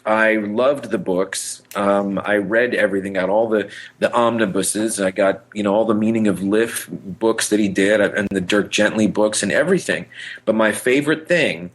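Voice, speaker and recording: A man's voice: on the low side, moderate pace, poor audio. A man co-hosting a podcast in casual fan discussion, speaking emphatically and repeating phrases for stress. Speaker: podcast co-host. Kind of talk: casual film discussion between fans.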